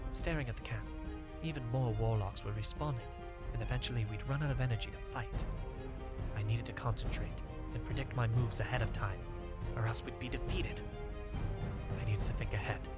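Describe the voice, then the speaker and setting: A man reading a scripted first-person story as an audiobook narrator. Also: lowish voice.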